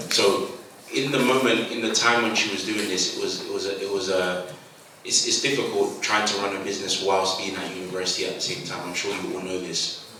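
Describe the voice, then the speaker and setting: A man's voice: low-pitched, flat delivery, brisk. A man hosting a conference, encouraging the audience and stumbling over repeated words. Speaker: conference host. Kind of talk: hosting a live event. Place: large conference hall. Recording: echoey hall, far mic, crowd noise.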